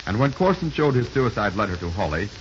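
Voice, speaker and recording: A man's voice: fairly low pitch, very animated, fast. A man doing scripted 1930s radio announcing in a dramatic, formal, declamatory manner. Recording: hissy, muffled 1930s radio recording.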